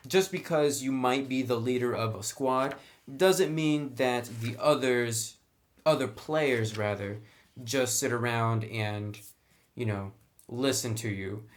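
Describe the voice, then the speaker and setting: A man giving a casual, unscripted review of a roleplaying book in a conversational, enthusiastic way. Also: medium pitch. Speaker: reviewer of tabletop roleplaying books.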